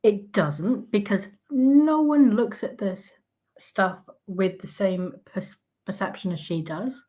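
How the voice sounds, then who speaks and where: A woman whose voice is croaky today, ranting in her own casual commentary at an ordinary middle pitch. She is sarcastic and outraged, stumbling through fillers and restarting sentences as she goes. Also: takes it slowly, dark tone. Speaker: commentary vlogger.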